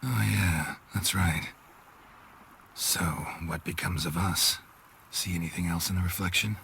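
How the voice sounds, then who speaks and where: An actor with an American accent playing a gruff, laconic monster hunter in a video game, dry-witted and affectionate with his lover, in a gravelly voice. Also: fairly even intonation, a little slow, bright, forceful tone, slight background noise, exaggerated voice. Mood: tender, dry. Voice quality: gravelly, low. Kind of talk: video game cutscene acting.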